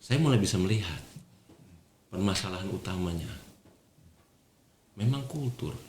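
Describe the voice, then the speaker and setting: A man speaks in a deep voice as an interview guest. He explains conversationally, repeating key phrases and checking that the listener follows. The room is echoey, with faint ambience behind him. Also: animated, normal speed, a little mellow. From Indonesia. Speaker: podcast guest, regional government official. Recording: roomy echo, faint background noise.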